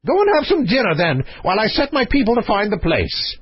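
A man's voice: sits high, sing-song, brisk, husky, muffled recording, voice pushed up, heavily acted. A man voicing a hot-tempered, pompous old king in a storybook, with blustering, imperious exclamations. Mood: impatient. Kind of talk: storybook character voice.

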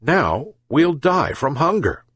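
A man voicing a poor, weak old fisherman in a storybook, speaking gently and wearily. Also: on the high side, very animated, takes it slowly, breathy edge, no echo, studio quality, pitched above the performer's own voice, heavily acted. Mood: weary. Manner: weary, gentle.

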